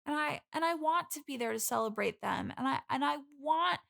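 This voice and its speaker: A woman's voice: sits high, lively intonation, fairly quick, gravelly, a bit bright, exaggerated voice. A woman playing a needy, lonely bridesmaid desperate for friends, rambling earnestly as a guest on an improvised comedy podcast. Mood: earnest, wistful.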